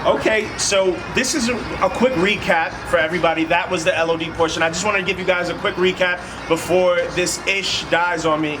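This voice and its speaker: A man's voice: high voice, a little fast, hardly any pauses, distant mic, background noise. A man hosting a casual vlog, playful and chatty, recapping for his viewers and egging on his guest. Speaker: vlog host. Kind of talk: casual chat.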